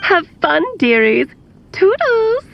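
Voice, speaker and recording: A woman's voice: extremely high pitch, big pitch swings, slow pace, creaky, lo-fi sound, heavy background noise, exaggerated voice. A woman voicing a playful, whimsical, doting mage who speaks in a sing-song way, as a recorded message in a video game. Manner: sing-song, teasing, affectionate. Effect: recorded magical message.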